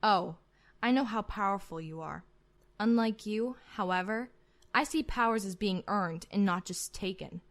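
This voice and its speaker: A woman's voice: medium pitch, normal speed, lightly acted. A woman acting as a proud, commanding female admiral in a sci-fi roleplay, curt and sardonic. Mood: defiant, stern. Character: proud, sharp-tongued female starship admiral, commanding and unafraid.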